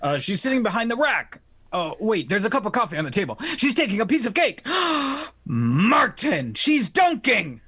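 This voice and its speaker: A performer playing a hesitant, gently teasing male coworker in a 1940s radio play, with coy, drawn-out pauses. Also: medium pitch, big pitch swings, slightly rough, dry recording, clean studio sound, full character voice.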